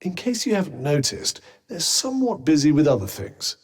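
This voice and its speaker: A man's voice: on the high side, sing-song, normal speed, slightly rough. An actor playing a sarcastic, condescending male talking bracelet in a game, speaking in dry, drawling asides. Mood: sardonic. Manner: drawling, faintly mocking asides. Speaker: game character. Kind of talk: game voice lines.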